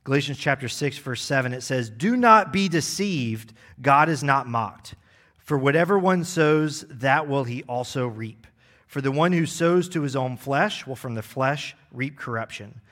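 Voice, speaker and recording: A young man preaching a sermon as executive pastor, relaxed and joking, with many fillers. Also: medium pitch, measured pace. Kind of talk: casual sermon. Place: church.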